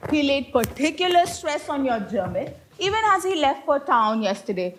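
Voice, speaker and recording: A woman's voice: high voice, very animated, normal speed, slight background noise. A woman playing a strict, prim Victorian governess in a stage comedy, pompous and given to moralizing. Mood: stern, instructing. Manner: stern, pompous, declamatory. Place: live stage play.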